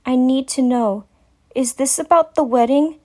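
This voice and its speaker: Woman voicing an earnest, high-voiced caring unicorn mare. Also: a little slow, darkish tone. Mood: gentle, earnest. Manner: soothing, earnest, insistent. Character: caring, earnest young female unicorn, motherly and firm.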